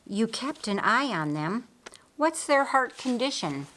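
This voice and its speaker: Lady doing calm wise male teacher in an audiobook, patient, probing, real slow n measured. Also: a little high, a little hiss or hum. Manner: measured, questioning, instructive. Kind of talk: audiobook character dialogue.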